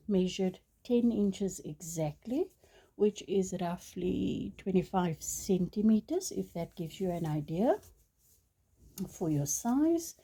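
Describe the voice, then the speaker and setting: A woman's voice: medium pitch, warm tone, husky. A woman whose first language is Afrikaans, teaching crochet in a casual, chatty way with many ums and apologetic restarts. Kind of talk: casual tutorial talk.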